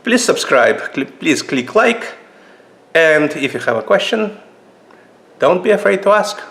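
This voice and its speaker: Russian-accented man, halting knife talk. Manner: halting, many fillers and restarts, lively pitch jumps. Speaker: channel host reviewing knives. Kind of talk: casual knife review vlog. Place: indoors at a table.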